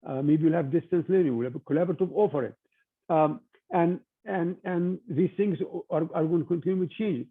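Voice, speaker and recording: An older man from Egypt giving an informal talk to students, with halting speech and frequent restarts over a tinny video-call line. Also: on the high side, slightly rough. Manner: halting, many restarts and fillers. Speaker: guest speaker.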